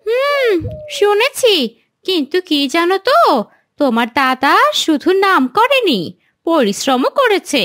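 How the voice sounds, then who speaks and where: A woman's voice: very high voice, big pitch swings, unhurried, fairly bright tone, exaggerated voice. A woman playing a principled village wife, moralising and reproachful, in a fairy-tale cartoon. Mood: admonishing. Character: principled, scolding village wife warning her husband.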